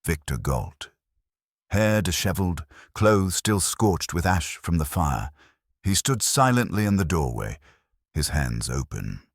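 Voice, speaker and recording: A man's voice: sits low, sing-song, a little slow, breathy edge, dry recording, studio quality. A man reading a scripted detective mystery as a dramatic audiobook narrator.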